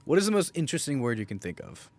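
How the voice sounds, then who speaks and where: A man's voice: mid-range voice, sing-song, racing, darkish tone. A man co-hosting a podcast in a casual, bantering, joking way. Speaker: podcast co-host. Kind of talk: casual chat.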